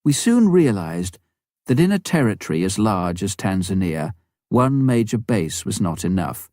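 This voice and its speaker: A man's voice: on the low side, sing-song, moderate pace, mellow, no room sound, studio quality. A man narrating a memoir from a script, as an audiobook reader.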